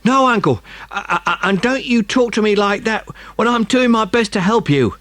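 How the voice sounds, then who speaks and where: A man acts a young village boy in a dramatised storybook. The voice is high and lively, panting with effort. He protests with cheeky indignation, in a dry close recording. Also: moderate pace, no echo. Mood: indignant, straining.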